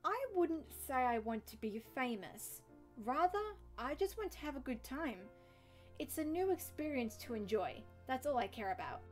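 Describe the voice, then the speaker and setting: A woman's voice: high voice, big pitch swings, moderate pace. A woman voicing an eager, plucky young female pony in a dramatic reading, with a bubbly, earnest manner. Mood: playful, sincere. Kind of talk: dramatic reading of a fan story. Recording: music under the voice.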